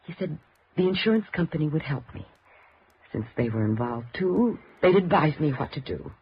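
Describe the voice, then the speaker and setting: An actress playing a suspicious, grieving daughter in a 1950s radio drama, halting and emotionally insistent. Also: female voice, sits low, sing-song, slightly rough, heavily acted. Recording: dull, noisy old radio recording.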